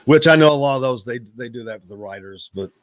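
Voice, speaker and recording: A man's voice: medium pitch, quick pace. A male sports radio host talks in a loose, rambling way and jokes with his guests. His voice sits in the middle of the male range. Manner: joking, rambling, animated. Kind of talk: casual sports radio chat.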